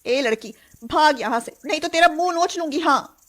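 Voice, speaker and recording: A woman's voice: sits high, lively intonation, moderate pace, fairly bright tone, voice pushed up. A woman voicing a jealous, hot-tempered young wife in a family moral story, dramatic and imploring. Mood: indignant.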